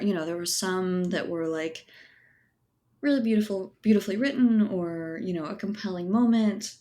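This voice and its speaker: Low-pitched woman talking casually. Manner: thoughtful, explanatory, peppered with "you know" and "like". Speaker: interview guest, writer and editor. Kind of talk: casual conversational interview answers.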